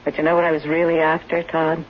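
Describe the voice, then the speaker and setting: A woman's voice: deep voice, flat delivery, fast talker, lightly acted. Actress as lovelorn woman, dull old radio sound. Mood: confessing, wistful. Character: cool, lovelorn actress posing as a caregiver. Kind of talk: 1970s radio drama acting. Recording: dull 1970s radio recording, faint noise.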